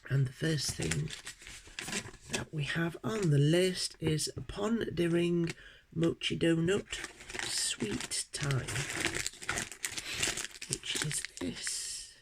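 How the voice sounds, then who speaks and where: British woman vlogger, croaky from a cold, unhurried and halting, slight background hiss. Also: deep voice. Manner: hesitant, many ums and pauses. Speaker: unboxing vlogger. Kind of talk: casual unboxing chat.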